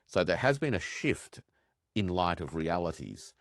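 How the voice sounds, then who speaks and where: A male professor talking thoughtfully as an interview guest, sometimes pausing with fillers. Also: low voice, very animated, dry recording, studio quality. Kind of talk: casual interview talk.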